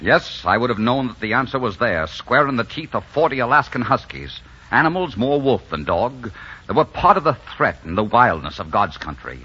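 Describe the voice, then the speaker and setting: An actor playing a rugged, bold adventurer smitten with love, in dramatic, romantic 1940s radio style. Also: male voice, medium pitch, big pitch swings, normal speed, sharp tone. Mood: romantic, wistful. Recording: dull 1940s radio recording with music.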